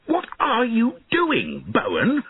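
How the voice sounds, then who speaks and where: A man voicing a cruel, angry young king in a storybook, shouting imperiously. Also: high-pitched, wildly swooping pitch, very slow, breathy edge, voice pushed up, exaggerated voice. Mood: angry, defiant. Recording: narrow, phone-like lo-fi audio.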